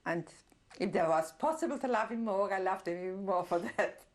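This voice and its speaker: An older woman whose first language is German, giving a reflective oral history testimony with many pauses and soft laughs. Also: mid-range voice. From Czernowitz, Bukovina. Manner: reflective, pausing often with fillers, soft laughs. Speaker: interviewee, Holocaust survivor.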